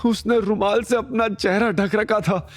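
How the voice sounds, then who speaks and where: A frightened young thug from an audio drama, put on by a male narrator. His voice is pushed very high, whimpering and pained. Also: steady tone, unhurried. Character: beaten young male henchmen, frightened, whining in pain.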